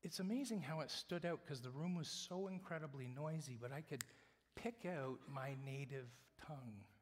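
A man's voice: fairly high pitch, moderate pace. Man preaching in a reverberant hall. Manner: dynamic, swings from loud emphasis to hushed whispers. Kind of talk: sermon. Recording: long reverb of a large hall.

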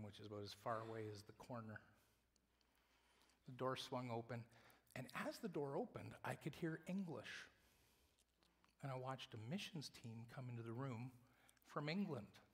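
A male preacher giving a sermon, moving between loud emphatic lines and hushed whispers. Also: medium pitch, animated, normal speed, pauses often. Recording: long reverb of a large hall.

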